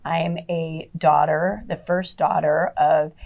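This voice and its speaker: Middle-aged female podcast guest, relationship coach, slightly low register, animated anecdotes with acted-out dialogue. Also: slow pace, vocal fry. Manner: animated storyteller, acts out quoted dialogue. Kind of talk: conversational interview storytelling.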